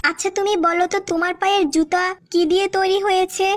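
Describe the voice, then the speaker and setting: A woman's voice: extremely high pitch, fairly even intonation, brisk, music in the background. A woman voicing a female schoolteacher quizzing her pupil in a cartoon joke sketch. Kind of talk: Bangla cartoon joke dialogue.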